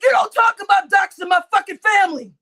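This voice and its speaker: A woman livestreamer, crying as she rants at her chat, shouting with heavy swearing and repeating her outbursts. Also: very high voice, brisk. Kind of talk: angry livestream rant to chat.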